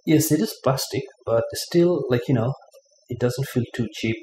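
A man giving a casual unboxing review of a gadget, talking haltingly with many fillers, at a table in his living room. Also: mid-range voice, dark tone. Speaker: product reviewer. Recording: echoey room, faint room noise.

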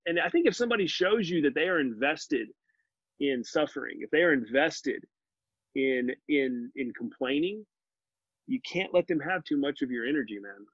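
A man's voice: a little high, expressive, moderate pace, a bit bright, breathy edge, no echo. A man in his forties, a podcast guest, talking earnestly and animatedly over a video call from his living room. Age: 40s. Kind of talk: casual conversational interview. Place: home living room with bookshelves. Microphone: video call, laptop mic. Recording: muffled dry video call audio.

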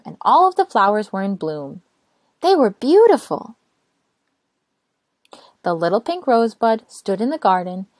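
A woman narrating a children's storybook aloud in an expressive, careful way. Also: fairly high pitch, no room sound. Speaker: storytime reader.

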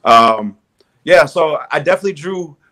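A man's voice: a little high, lively intonation, moderate pace, pushed and bright. A man in his thirties from Southern California talks as a guest on a video call. He sounds upbeat and friendly. He often repeats words while he gathers his thoughts. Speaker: guest, strength and conditioning coach. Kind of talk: casual video call interview.

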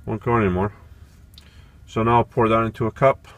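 A man's voice: fairly low pitch, fairly even intonation, brisk, a bit bright. A man in his forties making a casual vlog inside a small camper van, thinking aloud with fillers and restarts as he works. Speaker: van life vlogger.

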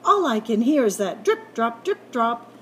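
A woman's voice: on the high side, big pitch swings, a little slow, slight background noise. A woman voicing a fussy, sleepless male rabbit in a children's storybook, with whiny, dramatic delivery. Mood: exasperated.

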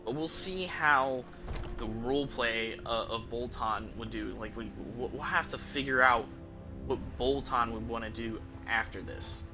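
Male streamer, 30s, mid pitch, loose rambling gameplay chat, music behind. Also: measured pace. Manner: rambling, musing, casual swearing. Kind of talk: casual gameplay commentary. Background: game music.

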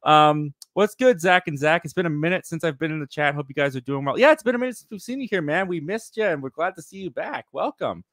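Mid-twenties male host for a casual live chat; upbeat, filler-heavy, a touch high, dry home-studio sound. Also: lively intonation, fairly bright tone, dry recording. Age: 20s. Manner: chatty, quick and upbeat, with frequent fillers. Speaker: livestream host. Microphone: boom mic in front of face.

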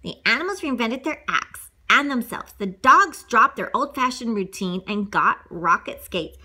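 Woman, bright forward voice, slightly high, children's read-along, casual asides. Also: lively intonation, a little slow. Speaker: storybook reader for children.

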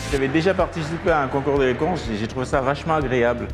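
A middle-aged man speaking calmly and thoughtfully as an interview guest in an indoor hall. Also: mid-range voice, rapid-fire. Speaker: guest, contest sponsor. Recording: music bed under the voice.

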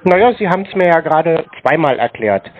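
A man answering scam calls with a calm, dry, sarcastic manner. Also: a little high, brisk, highs cut off, slight background noise. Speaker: scam-baiting call recipient. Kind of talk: recorded phone call.

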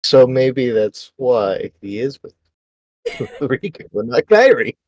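A woman's voice: very deep voice, sing-song, slow pace, muffled recording. A woman joining a game stream, making dry teasing remarks in casual chat.

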